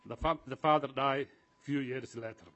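A man giving prepared public testimony in a formal, halting way. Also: medium pitch, fairly even intonation, a bit bright. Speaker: community association representative giving testimony.

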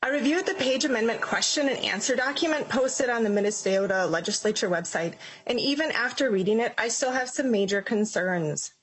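Husky woman reading formal testimony. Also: a little high, poor audio. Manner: formal and measured. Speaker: citizen giving testimony.

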